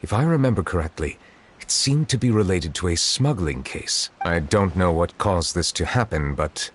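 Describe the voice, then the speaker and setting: A man voicing a solemn chief judge who is secretly a dragon, speaking in a formal, grave and measured way in a video game. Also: sits low, expressive, slight background noise. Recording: game audio with music behind.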